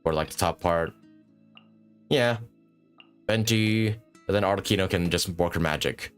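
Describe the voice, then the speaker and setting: A man doing casual, informal live gameplay commentary, thinking out loud as a streamer. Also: deep voice, a little slow, smooth. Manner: informal, swearing, thinking aloud. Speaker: game livestreamer. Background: video game music and sound.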